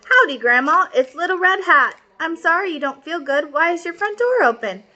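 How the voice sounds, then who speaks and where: A woman's voice: extremely high pitch, expressive, normal speed, pushed and bright, highs cut off, pitched above the performer's own voice, exaggerated voice. A woman voicing a plucky, bold little cowgirl with a feisty, folksy way of talking in a storybook. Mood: bright, bold.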